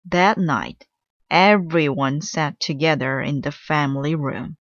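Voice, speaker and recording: A woman narrating a children's storybook aloud in an expressive storytelling style. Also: sits low, slow pace, highs cut off, a little hiss or hum.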